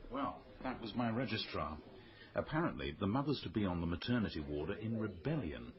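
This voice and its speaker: An actor playing an apologetic, harried British hospital doctor in a radio drama, with an understated, conciliatory manner. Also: male voice, lowish voice, animated, fairly quick, dull audio, close to an everyday voice. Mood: worried, weary. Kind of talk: naturalistic radio drama acting.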